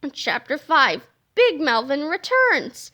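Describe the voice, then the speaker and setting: Very high, bright woman reading aloud. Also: big pitch swings, slow. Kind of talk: expressive children's book narration.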